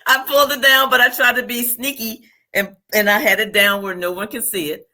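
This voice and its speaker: A woman hosting a podcast with a bright, forward tone. She chats casually and tells self-deprecating stories, laughing at her own slips. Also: a little high, steady tone, a little fast. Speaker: podcast host. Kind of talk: podcast interview conversation.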